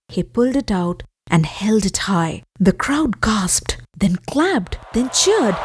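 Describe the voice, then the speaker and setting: A woman hosting a podcast, narrating folktales expressively with dramatic, deliberate phrasing. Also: mid-range voice, husky, dry recording, studio quality. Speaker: podcast host and storyteller. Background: music.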